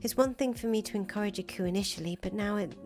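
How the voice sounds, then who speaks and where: A woman's voice: mid-range voice, fast talker, a little mellow, lightly acted. A woman voicing an anxious, disillusioned young fangirl in a visual novel, hesitant, earnest and self-deprecating. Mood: self-deprecating, uneasy. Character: anxious, disillusioned young fangirl, soft-hearted conscience of a revolutionary. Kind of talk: visual novel dialogue reading. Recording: music behind the voice. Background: music.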